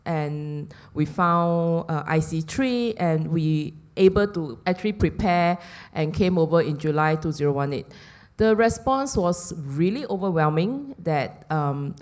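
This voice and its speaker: Earnest woman, lower voice. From Singapore. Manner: earnest, steady, explanatory. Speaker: book sale founder, forum guest. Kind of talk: press forum talk. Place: hotel function room.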